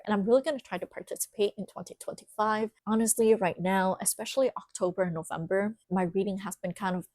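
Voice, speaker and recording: A young woman whose first language is Vietnamese, chatting casually and animatedly to camera, with quick restarts. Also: fairly low pitch, darkish tone, dry recording. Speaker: book vlogger.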